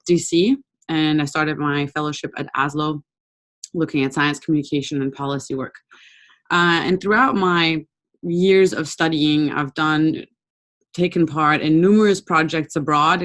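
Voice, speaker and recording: A young woman from Brazil giving an informal webinar talk over a video call, conversational and relaxed with frequent ums. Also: sits low. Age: young adult. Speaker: webinar presenter.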